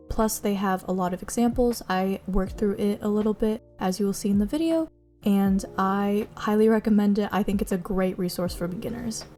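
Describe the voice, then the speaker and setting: A young woman whose first language is Mandarin, chatting casually in a vlog voice-over about how she studies, with plenty of likes and ums. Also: medium pitch. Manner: chatty, self-deprecating, lots of likes and ums. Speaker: vlogger teaching her own study method. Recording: soft music behind.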